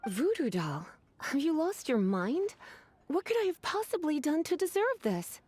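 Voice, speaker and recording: A woman's voice: high voice, sing-song, unhurried, a little mellow. Coy, lilting, teasing lady. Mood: dismayed, teasing. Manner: coy, drawling, sighing. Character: languid, teasing, flirtatious grown woman, sweetly menacing. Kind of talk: video game voice acting.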